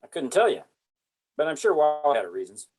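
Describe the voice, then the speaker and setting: A man's voice: on the high side, big pitch swings, fairly quick. A man playing an easygoing, hearty military squad leader with breezy delivery in an amateur table read. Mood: evasive.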